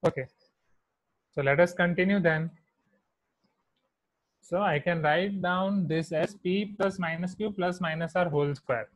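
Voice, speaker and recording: A man with an Indian accent teaching a maths class online, dictating each term slowly as he writes on a whiteboard. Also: high-pitched, dry recording, poor audio. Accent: Indian English. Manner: slow, deliberate dictation of terms while writing on a whiteboard.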